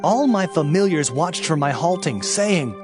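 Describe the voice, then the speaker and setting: Solemn, higher-pitched prophet of doom, played by a man. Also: animated, a little slow, music in the background. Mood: anguished, lamenting. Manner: solemn, declamatory, archaic phrasing. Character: anguished, fiery Hebrew prophet pronouncing doom. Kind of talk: dramatised scripture reading.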